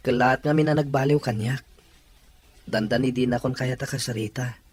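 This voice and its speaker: A man's voice: medium pitch, fairly even intonation, moderate pace, breathy edge, lightly acted. A man with an Ilocano Filipino accent playing an anxious, earnest young boyfriend in a radio drama. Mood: pleading.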